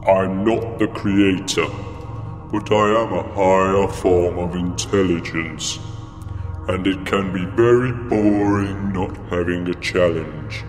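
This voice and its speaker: An actor in a comedy radio play playing a bored, lonely, grandiose alien being who taunts people as a false creator. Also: male voice, low voice, steady tone, slow pace. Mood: lonely, confiding. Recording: echoing reverb hall with music underneath.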